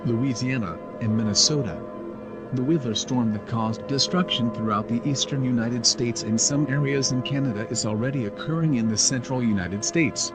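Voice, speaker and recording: Over clearly audible music, a man with a medium-pitched voice reads an emergency alert. His delivery is formal and scripted, like a broadcast announcer giving urgent instructions.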